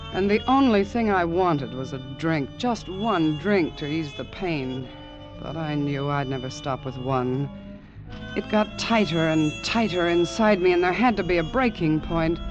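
Grim radio narrator over music. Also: male voice, on the high side. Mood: strained, confessional. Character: troubled man recounting his ordeal from a letter, grimly intense. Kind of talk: dramatic scripted radio narration. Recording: dull vintage radio, music underneath.

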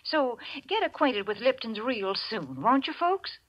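Lively high-voiced 1940s radio saleswoman. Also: measured pace. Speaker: commercial announcer. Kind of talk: 1940s radio advertising pitch. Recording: dull vintage radio recording.

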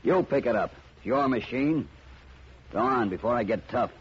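A man's voice: medium pitch, moderate pace, natural voice. A man playing a shrewd, self-assured, tough private detective in a mid-century radio drama. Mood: defiant. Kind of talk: 1940s-50s radio detective drama acting. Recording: muffled old radio recording, light hiss.

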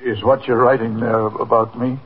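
A man's voice: mid-range voice, flat delivery, moderate pace, slightly rough, lightly acted. An actor playing an anxious, proud old man in a 1950s radio drama, hesitant and stammering as he repeats himself. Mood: uneasy, subdued. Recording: tinny, noisy 1950s radio recording.

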